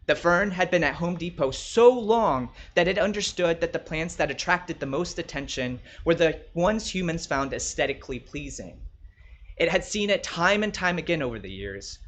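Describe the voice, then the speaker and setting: A man's voice: on the high side, a bit bright. A man reading his own short story aloud as a scripted narration.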